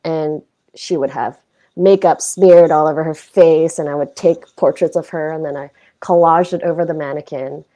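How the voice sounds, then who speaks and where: A woman's voice: low voice, fairly even intonation, normal speed, dark tone, low-quality recording. A young woman from Hong Kong, a guest artist talking casually and reflectively about her work, poking fun at herself along the way. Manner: reflective, self-deprecating, loose with fillers. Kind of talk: casual conversational interview.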